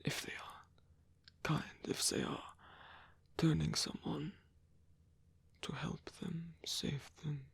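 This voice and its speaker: A man playing a lonely, gentle old vampire, murmuring haltingly and breathily in an intimate ASMR roleplay. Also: on the high side, level tone, no echo, exaggerated voice. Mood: earnest, reflective. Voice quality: breathy, whispery.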